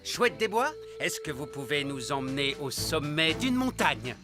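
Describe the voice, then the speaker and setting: An actor voicing a cheery, bumbling adult elf scout leader, hearty and instructive, in a children's cartoon. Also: male voice, fairly high pitch, very animated, measured pace, music in the background. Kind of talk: animated children's series voice acting, French dub.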